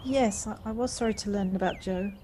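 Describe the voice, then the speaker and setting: Casting: actress as curious, friendly woman in her forties, slightly hesitant, mid pitch, faintly noisy home-recorded audio play. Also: fairly quick, mellow, lightly acted. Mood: hesitant, warm. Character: inquisitive, eager forty-five-year-old woman newcomer. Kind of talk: amateur audio drama acting.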